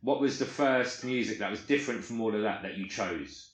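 A man, asking relaxed, slangy questions as podcast host. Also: lowish voice, fairly quick, echoey room. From North West London, England. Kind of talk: casual interview.

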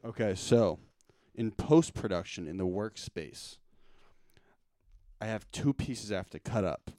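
A young male podcast host with a somewhat low voice, recorded dry and close in a studio. He talks in a relaxed, jokey way and explains things plainly, peppering his speech with "bro.". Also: unhurried, no echo. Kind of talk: casual podcast interview.

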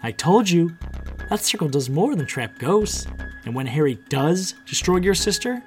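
A man's voice: medium pitch, wildly swooping pitch, a little fast, a little mellow, soft background music. A man voicing a wicked woman spellcaster in an audiobook, with a taunting, menacing, emphatic delivery. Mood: gloating, ominous.

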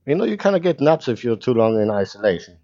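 A man's voice: medium pitch, very animated, fast talker, warm tone. Man hosting a casual, lo-fi podcast. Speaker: podcast host. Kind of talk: casual interview chat.

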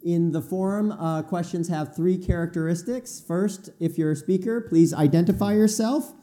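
Mellow-voiced forum moderator. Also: medium pitch, moderate pace. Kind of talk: giving audience instructions.

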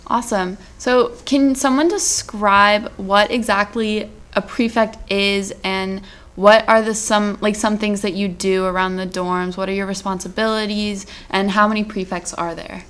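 A woman's voice: mid-range voice, steady tone, unhurried, a bit bright. A young woman hosting a school podcast, reading upbeat scripted introductions and asking questions. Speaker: podcast host.

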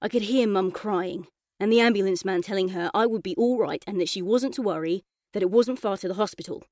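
Boy narrator for a children's audiobook, read by a woman. Medium-pitched, cheeky but thoughtful, expressive, dry recording. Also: a little fast, no echo, natural voice. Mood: matter-of-fact. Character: young football-loving boy narrator, thoughtful, cheeky, trapped in coma. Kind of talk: first-person audiobook storytelling.

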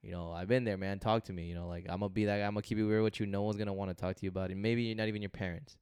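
Low, smooth-voiced young man chatting. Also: fairly even intonation, rapid-fire, darkish tone, no echo, studio quality. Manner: laid-back, slangy, lots of "you know". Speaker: podcast co-host. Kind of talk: casual chat.

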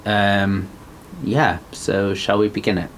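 A young man from the north of England co-hosts a podcast in a fairly low voice. He takes his time, leaning on ums and likes as he thinks aloud. A faint hiss runs beneath the recording. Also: level tone. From Wigan, England. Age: young adult. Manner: slow, thoughtful, filler-heavy. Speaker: podcast co-host. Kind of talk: casual chat.

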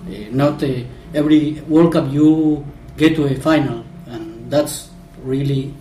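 Halting man, far from the mic. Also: fairly high pitch, steady tone, dark tone, reverberant, a little hiss or hum. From Argentina. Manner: halting, many fillers and restarts. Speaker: podcast guest. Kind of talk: casual football podcast chat.

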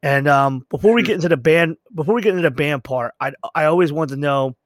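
A middle-aged man hosts a podcast interview in a slightly high voice. He talks earnestly and informally, leaning on his points with emphasis. The sound is dry and free of room echo. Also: fast. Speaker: podcast host. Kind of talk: conversational interview.

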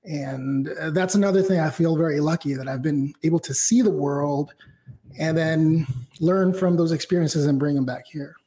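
High-voiced reflective man, interview guest. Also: steady tone, moderate pace, dark tone. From Mexico. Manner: reflective, talks in long winding sentences with fillers. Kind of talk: casual interview.

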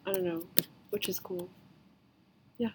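A woman's voice: fairly low pitch, steady tone, measured pace. Young american woman hosting a chill podcast, says like constantly, bit of background hiss. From United States. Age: 20s. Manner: says "like" constantly, loose and chatty. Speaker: podcast host. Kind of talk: casual chat.

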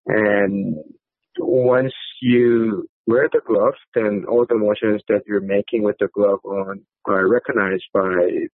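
A man with a Korean accent answers as an interview guest in a lowish voice. He speaks conversationally and haltingly, with many filler words, over a thin, phone-like line with no room sound. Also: steady tone, no echo. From South Korea. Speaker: podcast guest, company executive.

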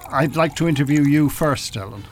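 An actor in an audio drama playing a polite, formal Irish con artist posing as a professor. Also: male voice, a little high, fairly quick, vocal fry, a little hiss or hum. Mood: smooth, reassuring.

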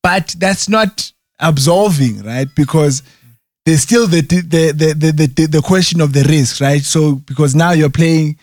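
A man's voice: a little high, a little slow, dry recording, studio quality. A man in casual chat who rambles, restarts often and keeps checking in with "right?". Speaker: conversation partner.

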